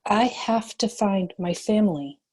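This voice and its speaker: A woman's voice: mid-range voice, animated, a little slow, a little mellow. Woman acting a terse, defiant female cop. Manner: terse, combative. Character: defiant, stubborn female bounty cop, weary and prickly. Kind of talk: screenplay table read.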